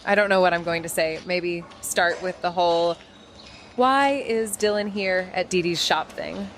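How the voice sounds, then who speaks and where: A woman's voice: fairly low pitch, unhurried, slight background noise. An actress playing a fierce, sarcastic young detective in a noir audio drama, blunt and rambling as she tells her story. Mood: anxious, rambling. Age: young adult. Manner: sardonic, blunt, rambling, self-interrupting. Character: Amy, fierce sarcastic foul-mouthed young female detective. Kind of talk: first-person noir audio drama narration.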